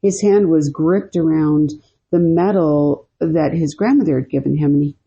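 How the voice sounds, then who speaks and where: Woman, podcast co-host, low pitch, husky, mellow, rambling casual storytelling. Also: moderate pace, lo-fi sound. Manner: rambling, full of "you know" and "like".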